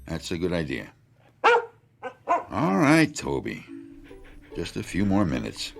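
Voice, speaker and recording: A man's voice: low voice, huge pitch swings, slow pace, gravelly, over music, heavily acted. A man playing an older, folksy night janitor in a radio drama, dry and easygoing. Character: old male night janitor, folksy and knowing.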